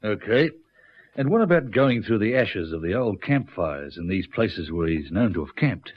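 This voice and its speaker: An actor playing a cautious, practical detective with a measured manner in a radio drama. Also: male voice, fairly low pitch, sing-song. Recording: dull lo-fi radio recording.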